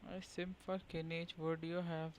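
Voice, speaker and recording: A man's voice: on the high side, little pitch movement, normal speed, heavy background noise. A man with an Indian accent chatting casually and informally as he livestreams a game. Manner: informal, slangy, switches between English and Hindi. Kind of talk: casual chat commentary over gameplay. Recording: stream mic over game music. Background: game music.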